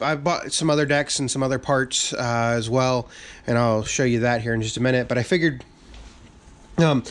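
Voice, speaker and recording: A man hosting a casual update video, talking in a relaxed, rambling way with many fillers and restarts, his voice a bit raspy from a cold, in a workshop full of parts. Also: mid-range voice. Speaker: channel host.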